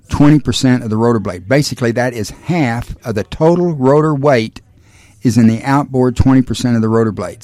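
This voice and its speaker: A man giving a measured technical explanation in an interview, stressing the figures. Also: medium pitch, darkish tone, no room sound. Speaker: aircraft designer being interviewed.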